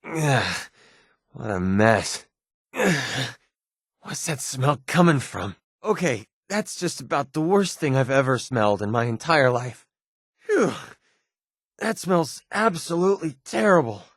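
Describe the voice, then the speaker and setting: A man's voice: mid-range voice, big pitch swings, slow pace, fairly bright tone, breathy edge, dry recording, studio quality, heavily acted. A man with an American accent playing a sarcastic, wisecracking young mercenary companion in a video game. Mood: disgusted. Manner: sardonic, wisecracking, colloquial. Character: wry, sarcastic young male wasteland mercenary. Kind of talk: video game companion dialogue, naturalistic acting.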